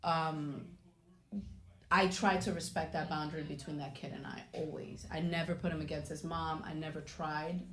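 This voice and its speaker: A woman's voice: low-pitched, little pitch movement, moderate pace, reverberant, a crowd in the background. A woman co-hosting a podcast, chatting candidly about family and relationships. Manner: candid, loud and teasing at times. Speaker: podcast co-host. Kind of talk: casual chat.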